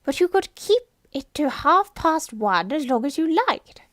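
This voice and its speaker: A woman's voice: sits high, sing-song, measured pace, a bit bright, no room sound, studio quality, pitched above the performer's own voice, full character voice. A woman voicing an eccentric, huffy and mournful male hatter from a storybook, in a declamatory, exaggerated way. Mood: mournful, theatrical. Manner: declamatory, contemptuous, exaggerated swings. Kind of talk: storybook character voice.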